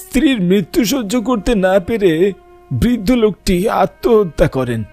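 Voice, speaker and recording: High-pitched, solemn village watchman acted by a man. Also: big pitch swings, moderate pace, a little mellow, soft background music. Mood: grave. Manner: grave, explanatory. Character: solemn village market watchman uncle recounting a legend. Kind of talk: horror cartoon storytelling.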